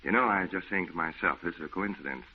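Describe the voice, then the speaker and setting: A man's voice: low voice, lightly acted. An actor playing a genial, breezy office man and loyal friend in a 1940s radio drama. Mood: friendly. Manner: brisk, friendly, conversational. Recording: muffled, hissy 1940s radio recording.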